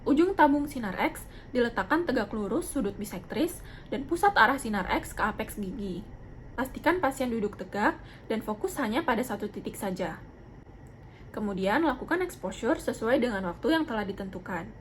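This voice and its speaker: A young woman with a fairly high voice explains a procedure step by step. She is formal, polite and methodical. Faint noise sits behind her voice. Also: lively intonation, normal speed. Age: young adult. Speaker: dental student demonstrating a procedure. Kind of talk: instructional narration with role-played patient consultation. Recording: clearly noisy amateur video sound.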